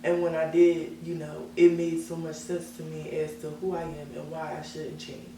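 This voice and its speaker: A woman's voice: low voice, level tone, moderate pace. A young woman singer as an interview guest, talking about herself in earnest, self-assured casual chat. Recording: echoey room, mic at a distance, background hum.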